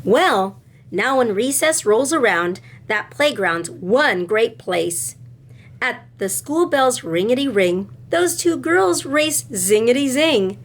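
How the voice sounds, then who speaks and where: A woman teacher reading a picture book aloud expressively and explaining it to children, with deliberate phrasing and questions. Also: on the high side, lively intonation.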